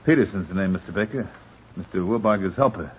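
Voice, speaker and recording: An actor playing a cool undercover agent who talks politely and slickly in an old-time radio crime drama. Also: male voice, deep voice, fast, lightly acted. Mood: deferential, sly. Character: quick, cool undercover male agent posing as helper. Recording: dull, faintly noisy old radio recording.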